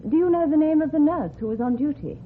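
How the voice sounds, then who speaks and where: Woman as brisk high-voiced receptionist, tinny recording. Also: close to an everyday voice. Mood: businesslike. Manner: clipped, polite, matter-of-fact, dismissive. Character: brisk, officious hospital receptionist. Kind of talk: radio drama acting. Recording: muffled, phone-like old radio recording, hissy.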